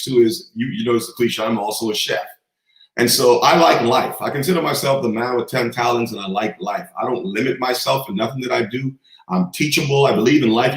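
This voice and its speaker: Confident man from the Bahamas, echoey. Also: medium pitch. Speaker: guest. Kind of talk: storytelling in a conversation.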